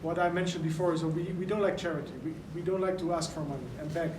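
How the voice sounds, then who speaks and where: A man speaks at a press event and lays out his points earnestly, one step at a time. His voice sits a little high for a man. The sound is roomy and noisy, and the mic is some distance from him. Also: fairly even intonation, fast talker. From Netherlands. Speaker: event organizer. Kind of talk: press conference talk. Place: large indoor venue. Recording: echoey room, mic far off, noisy.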